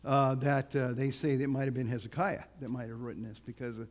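A man's voice: mid-range voice, flat delivery. A man preaching as a guest in a church, talking in a big voice with many fillers and restarts. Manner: loose, halting delivery with many fillers and restarts. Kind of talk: sermon. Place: church hall. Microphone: microphone on a sound system. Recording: church hall with long reverb.

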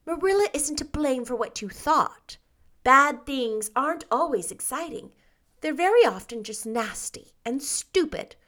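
A woman's voice: lively intonation, measured pace, a bit bright. A woman voicing an earnest, imaginative young girl in a storybook reading. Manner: earnest, raised in pitch.

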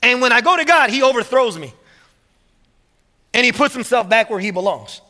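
Male pastor, high voice, bright, energetic sermon delivery, repeats words for emphasis, long hall reverb. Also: normal speed.

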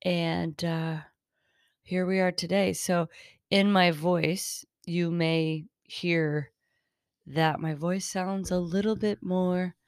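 A woman hosting her own improvised podcast, talking in a chatty, rambling way, her voice raspy and tired, partly lost. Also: fairly low pitch, steady tone, takes it slowly, no room sound, studio quality. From Northern California. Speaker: podcast host. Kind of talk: improvised solo talk. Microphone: close mic.

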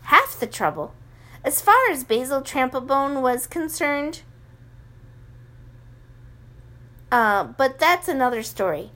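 Confident kind wizard's wife read by a woman, bit high, reassuring. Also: sing-song, unhurried, pushed and bright, heavily acted.

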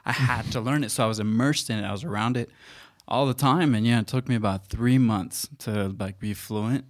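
A man's voice: medium pitch, fairly quick. A man in his thirties, born in Costa Rica with Spanish as his first language, talking earnestly and conversationally in a podcast studio, with many fillers and restarts. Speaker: podcast producer and guest speaker.

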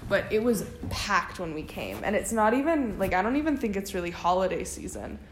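A woman's voice: mid-range voice, expressive, a little fast, echoey room, slight background noise. A young woman co-hosting a casual podcast, chatting loosely with lots of fillers. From United States. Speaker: podcast co-host. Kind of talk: casual chat.

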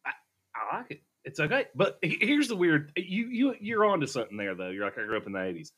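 A man chats on a podcast, his husky voice sitting a little high. His pitch swings widely as he tells animated stories and laughs easily. He talks into a studio microphone. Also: fast talker. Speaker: podcast co-host. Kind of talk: casual podcast chat.

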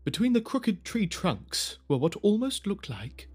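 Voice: mid-range voice, sing-song, unhurried, darkish tone, husky. A narrator telling a spooky audio drama story in scripted storytelling style. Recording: music behind the voice.

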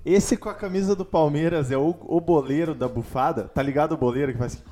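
Casual Brazilian male co-host, slightly high voice. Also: quick pace, warm tone. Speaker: co-host reading viewer messages. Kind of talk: casual slangy chat. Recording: background music behind the voice.

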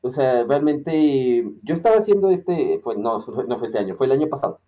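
A man's voice: medium pitch, lively intonation, moderate pace, lo-fi sound. A man with a Mexican accent chatting casually as a podcast co-host, rambling and searching for his words. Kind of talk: casual conversation.

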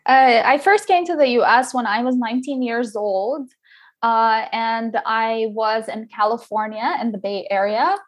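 A woman's voice: a little high, measured pace. A middle-aged woman from Liberia, a scholar being interviewed, speaking reflectively with frequent fillers and restarts. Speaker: guest scholar. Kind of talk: interview conversation.

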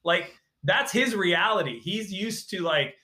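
A man talking as a guest in a casual interview, emphatic and animated as he tells his story. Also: high-pitched, unhurried, reverberant.

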